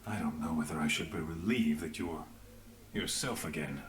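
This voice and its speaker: An actor playing a grave, world-weary resistance leader in a fantasy game, speaking in measured lines. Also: male voice, rumbling low, reverberant, a crowd in the background. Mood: grave, bitter. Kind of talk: dramatic fantasy game voice acting.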